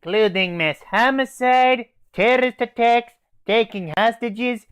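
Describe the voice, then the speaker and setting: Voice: medium pitch, unhurried, a bit bright, smooth, no room sound, natural voice. A performer voicing a brash, foul-mouthed, bloodthirsty military operator from a game in a comedy skit. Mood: blunt, mocking. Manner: blunt, cocky, swearing.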